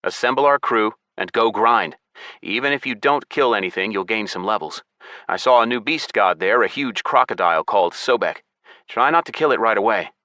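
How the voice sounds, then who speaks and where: A male narrator plays a serious young hero in a fantasy audiobook. He gives instructions firmly and earnestly, in a bright, forceful voice recorded close and dry. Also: lowish voice, normal speed, dry recording. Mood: firm, urgent.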